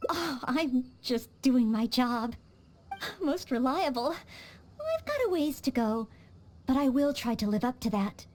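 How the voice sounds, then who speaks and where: A woman's voice: high voice, big pitch swings, slow, a little hiss or hum, heavily acted. A woman voicing an earnest, dutiful young maid who trains as a knight in a video game, speaking politely and formally. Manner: polite, formal and eager to help. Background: soft game music.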